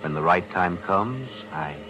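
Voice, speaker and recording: An actor playing a quiet, menacing accomplice in a 1940s radio drama, cold and ominous. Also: male voice, sits low, lively intonation, measured pace, lo-fi sound. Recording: hissy vintage radio recording.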